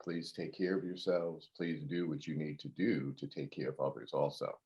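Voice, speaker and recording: A man's voice: extremely low pitch, fairly even intonation, moderate pace, a little mellow. A man hosting an interview show, chatty and friendly, laughing often. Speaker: host.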